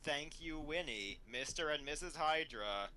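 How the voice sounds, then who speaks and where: Bright, sharp-voiced man with dry quips. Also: fairly high pitch, animated, unhurried, rough recording. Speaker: co-host of a fan fiction reading show. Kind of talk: casual banter and mocking read-aloud.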